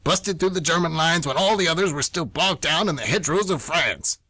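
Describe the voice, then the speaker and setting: Radio play: male actor as indignant veteran schoolteacher close to retirement, animated, bright husky voice, emphatic rants. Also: fairly high pitch, brisk, no echo, full character voice. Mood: cynical, ranting. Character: grumpy old-school male high-school teacher nearing retirement. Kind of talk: amateur radio play acting.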